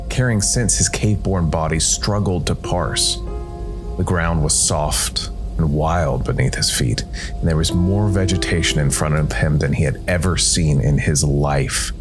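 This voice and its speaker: A man with a low, deep voice narrates a scripted tale as a podcast host. His delivery is measured and deliberate, shaped like careful storytelling. Faint music plays underneath him.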